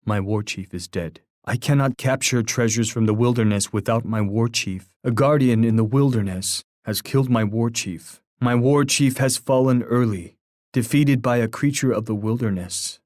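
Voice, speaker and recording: A man's voice: mid-range voice, darkish tone, dry recording, clean studio sound, lightly acted. A man playing a solemn, dignified war leader. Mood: somber, grave. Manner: solemn, measured, oratorical, formal poetic phrasing. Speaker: game character voice. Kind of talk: scripted video game voice lines.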